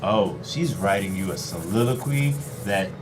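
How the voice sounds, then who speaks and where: A man's voice: deep voice, measured pace, music in the background. A man hosting a live stream in casual chat, playful and hyping things up. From United States. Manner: playful, animated, hypes things up. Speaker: stream host.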